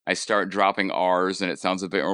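A man with a Rhode Island accent hosts a casual podcast chat in a lowish, bright and crisp voice. He stumbles and repeats words as he goes. The sound is dry and close. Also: little pitch movement, dry recording. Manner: halting, repeats words, hedging fillers. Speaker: podcast host.

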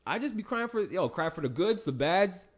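A man's voice: high voice, big pitch swings, normal speed, lo-fi sound, a crowd in the background. A man co-hosting a casual podcast, joking around and swearing freely. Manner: joking, brash, profane. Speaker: podcast co-host.

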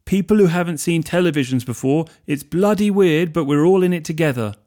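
A man voicing a flustered, high-strung young wizard hosting a party. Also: fairly quick, dark tone, lightly acted.

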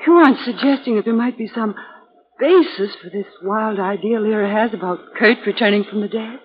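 A woman's voice: mid-range voice, fairly quick, lightly acted. Sleuth's female companion actress, level-headed, inquisitive, polished. Vintage radio drama, muffled with slight hiss. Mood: questioning, sceptical. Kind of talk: 1940s radio acting. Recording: muffled, hissy 1940s radio recording.

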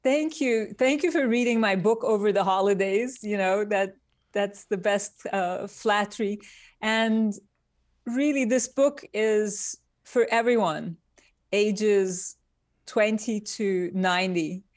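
A woman from Turkey, a guest designer, speaking in a thoughtful, unhurried way in a casual interview over a remote call. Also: mid-range voice, no room sound, studio quality.

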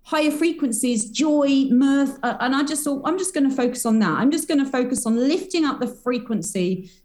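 A woman's voice: sits high, moderate pace, darkish tone. Bubbly rambling woman. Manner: bubbly, rambling, spiritual jargon. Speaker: podcast guest, energy healer. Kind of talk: casual podcast chat.

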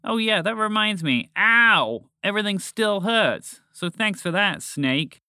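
A man voicing an excitable, panicky, ungrateful young male frog, with an incredulous, shouty delivery. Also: high-pitched, unhurried, clear, resonant tone, no echo, clean studio sound, pitched above the performer's own voice, heavily acted. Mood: indignant.

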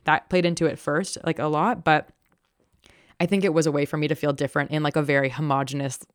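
A woman's voice: sits low, fairly even intonation, fast talker, no room sound, studio quality. A young adult woman chatting casually and candidly, poking fun at herself with plenty of fillers. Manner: candid, self-deprecating, lots of fillers. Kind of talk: casual chat.

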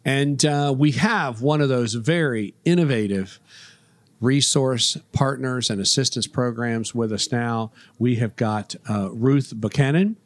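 A man's voice: mid-range voice, a little slow, no room sound. A man hosting a business podcast, talking in a chatty way with many fillers. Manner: chatty, lots of uh fillers, bursts of speed. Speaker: podcast host. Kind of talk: casual interview.

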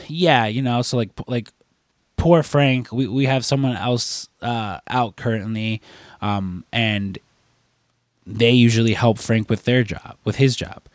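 A man's voice: mid-range voice, measured pace, dry recording. A young man chatting casually as a podcast co-host. He is congested from a cold and rambles with lots of fillers and swearing. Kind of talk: casual chat.

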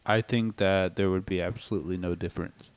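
A man with a fairly low voice joins a silly improvised podcast. He hesitates, stammers and restarts, and keeps a deadpan delivery throughout. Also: brisk, dry recording.

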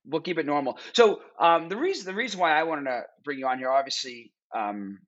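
A man hosting a podcast, relaxed and rambling as he introduces his guest. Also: fairly high pitch, fairly quick. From Boston area, USA. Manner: relaxed, rambling, many fillers. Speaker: podcast host. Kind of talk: casual interview chat. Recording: slightly dull lo-fi call audio.

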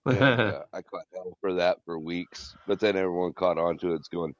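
An easygoing man co-hosting a podcast, with a very deep voice as he shares stories. The sound is dull and lo-fi. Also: moderate pace. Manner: easygoing, storytelling, agreeable. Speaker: co-host, drummer. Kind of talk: casual chat.

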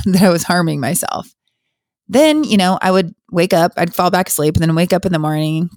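A middle-aged woman hosts her own podcast in a candid, confessional tone. Her voice sits a little low and carries a slight hoarseness. She is recorded close and dry, with no room sound. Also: a little mellow, dry recording. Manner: open, confessional, conversational. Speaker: podcast host. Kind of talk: casual solo podcast talk.

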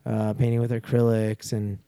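A man's voice: lowish voice, flat delivery, moderate pace, dark tone, clear, resonant tone, dry recording, studio quality. A man in his forties chatting casually as a guest, laid-back and slangy, with many restarts. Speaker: guest skydiver. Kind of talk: casual chat.

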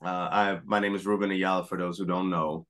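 A middle-aged man from Puerto Rico, a guest in an interview, telling his story in a relaxed way with frequent restarts. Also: deep voice, brisk. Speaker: guest, apparel company founder. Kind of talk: conversational interview.

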